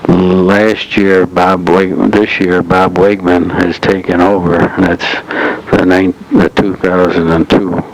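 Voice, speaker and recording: An elderly man recounts local club history in measured, halting phrases, as in a slow oral history. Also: low voice, little pitch movement. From United States, upstate New York. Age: 70s or older. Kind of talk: slow oral history reminiscence, partly as if from notes. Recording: noisy old reel-to-reel tape transfer.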